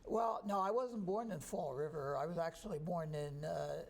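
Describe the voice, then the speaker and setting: A man in his sixties from New Jersey, a history professor answering interview questions in a relaxed, thoughtful way. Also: fairly high pitch, normal speed, vocal fry. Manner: relaxed, thoughtful, chuckles easily.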